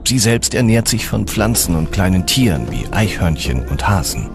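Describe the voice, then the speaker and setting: Man, scripted documentary narration, dramatic storyteller, lively melodic delivery, background music. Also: low-pitched, normal speed. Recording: music behind the voice.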